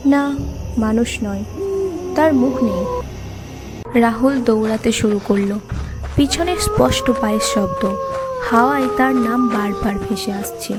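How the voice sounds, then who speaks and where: High-voiced woman narrating a ghost story. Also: lively intonation, unhurried, long pauses. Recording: background music behind the voice.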